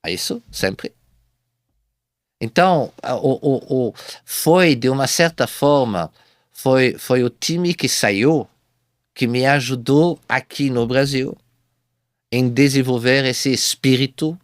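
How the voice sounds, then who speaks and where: A man speaking Portuguese as a second language, a guest telling his business story in a halting way, with many repeated false starts. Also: mid-range voice, creaky, no echo, studio quality. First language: not Portuguese. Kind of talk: casual interview storytelling.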